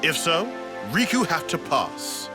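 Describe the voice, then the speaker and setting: A man's voice: fairly high pitch, very animated, slow pace. A man voicing a fussy, sensible small creature tinkerer in a video game, lecturing drily in clipped broken English. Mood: skeptical. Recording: loud game music underneath.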